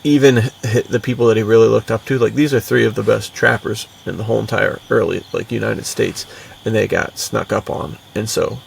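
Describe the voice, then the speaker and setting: Very gravelly, slangy male podcaster. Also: lowish voice, steady tone, a little fast. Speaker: podcast co-host and fiction writer. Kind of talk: casual podcast chat. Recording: distant mic with faint background noise.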